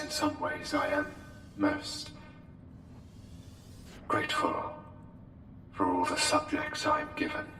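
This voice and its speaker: Over music and in long, echoing reverb comes a courtly, menacing plague doctor. The voice is low, with formal, haughty, deliberate phrasing broken by pauses, as intimate ASMR roleplay. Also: male voice, full character voice. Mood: solemn, dignified.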